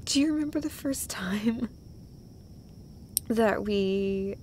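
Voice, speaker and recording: Woman acting as devoted girlfriend, slow pace, halting and breathy, tender, self-deprecating, intimate romantic roleplay, slight background noise. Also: medium pitch. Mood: nostalgic, flustered. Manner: halting, breathy phrases broken by small laughs. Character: tender, emotional, self-deprecating girlfriend comforting her partner.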